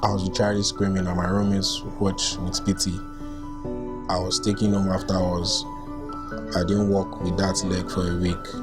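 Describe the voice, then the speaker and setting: A man's voice: deep voice, little pitch movement, moderate pace. A man with a Nigerian accent, reading personal accounts aloud and reacting to them casually. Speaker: volunteer reader reacting to written accounts. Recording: music bed under the voice. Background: music.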